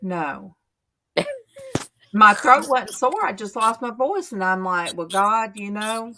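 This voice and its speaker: Slow-talking woman host. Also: medium pitch, expressive, sharp tone, slight background noise. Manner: chatty, storytelling, drawn-out. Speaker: channel host. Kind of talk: casual faith chat on a livestream.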